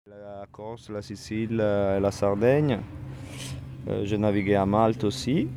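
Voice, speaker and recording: A man in his thirties, deputy chief at a sailing school, speaking slowly and hesitantly in an interview. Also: lowish voice, steady tone. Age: 30s. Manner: slow, hesitant, listing places. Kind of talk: casual interview. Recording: noisy outdoor recording.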